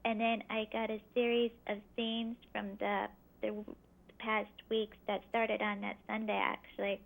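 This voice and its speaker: A woman's voice: mid-range voice, little pitch movement, a little slow, creaky, poor audio. A woman as an interview guest, recounting a personal experience in brief, plain answers.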